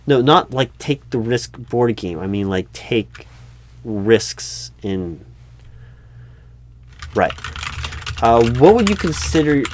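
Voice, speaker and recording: A man playing a patient but flustered, unobservant recruiting manager in a one-sided ASMR interview roleplay, speaking aloud in a halting, puzzled way. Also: lowish voice, dry recording, lightly acted. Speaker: job interviewer. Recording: faint noise, computer keyboard typing clicks. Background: keyboard typing and clicks.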